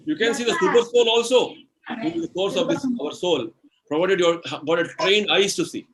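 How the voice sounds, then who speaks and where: A man with an Indian English accent explaining spiritual ideas in a devotional lecture, slow and explanatory, using everyday examples and many "you know"s. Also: high voice, noisy background. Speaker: spiritual speaker answering questions.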